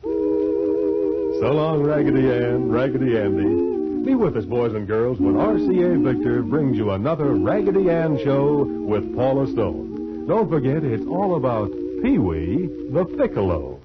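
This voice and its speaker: A man's voice: mid-range voice, very animated, a little slow, loud music behind the voice. A man announcing and selling to children in vintage 1940s radio style. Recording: dull old 1940s radio recording.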